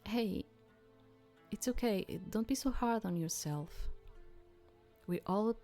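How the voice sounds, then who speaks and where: Visual novel companion played by a woman: gentle, anxious young woman, consoling, hesitant, unhurried, faint music. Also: medium pitch, pitched above the performer's own voice. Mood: soothing, apologetic. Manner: soft, hesitant, soothing.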